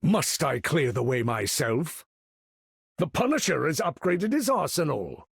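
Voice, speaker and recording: Game role: imperious older mutant leader, slow, gravelly, grandiose and formal. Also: male voice, fairly high pitch, sing-song, no echo, studio quality, full character voice. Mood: disdainful, proud. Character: proud, imperious older male mutant master of magnetism. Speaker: video game voice actor. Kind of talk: theatrical game character voice lines.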